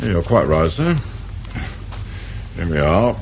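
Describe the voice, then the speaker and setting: An actor playing a dry, businesslike, world-weary English police inspector in a British radio drama. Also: male voice, low-pitched, very animated, slow, exaggerated voice, low-quality recording. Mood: polite, probing. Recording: faint noise behind radio drama recording.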